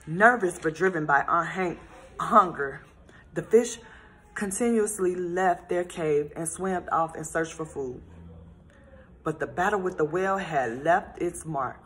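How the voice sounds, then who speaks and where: A woman reading a children's storybook aloud in a gentle, expressive storytelling manner. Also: on the low side, measured pace.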